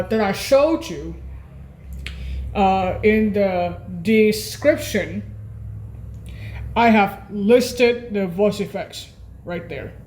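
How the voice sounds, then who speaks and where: A woman's voice: mid-range voice, darkish tone, slight background noise. A woman giving an informal, unscripted on-screen walkthrough, hesitant and often repeating and correcting herself. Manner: frequent throat clearing. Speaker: video creator and presenter.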